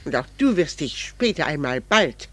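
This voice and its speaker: An actress playing a proud, unrepentant middle-aged wife with grave fervour in a 1960s radio drama. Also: female voice, low voice, very animated, normal speed. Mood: fervent longing. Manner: grave, fervent, solemnly emphatic. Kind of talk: 1960s German-language radio drama acting.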